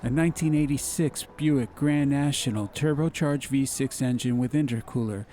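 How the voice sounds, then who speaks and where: A man's voice: medium pitch, normal speed, warm tone, a little hiss or hum. A man narrating a dramatized audiobook story, with music and vehicle sound effects. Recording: music, car and outdoor ambience behind.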